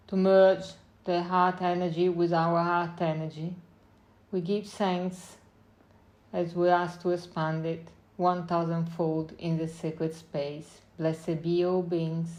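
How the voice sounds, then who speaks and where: Slow-paced woman guiding a meditation. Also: fairly low pitch, low-quality recording. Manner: unhurried short phrases with long pauses, gentle instructing. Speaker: meditation guide. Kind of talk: guided meditation, slow spoken visualization.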